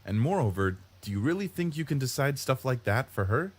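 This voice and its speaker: A man's voice: mid-range voice, very animated, brisk, a little hiss or hum, lightly acted. A young man playing a wry, rational, exasperated male lead in a visual novel, narrating and talking over music. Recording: loud guitar music over the voice.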